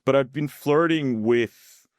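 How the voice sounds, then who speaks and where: An Australian man in his thirties, a podcast guest telling his story in a relaxed, chatty way with many fillers and easy laughs. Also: mid-range voice, slow pace, no room sound, clean studio sound. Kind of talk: casual interview chat.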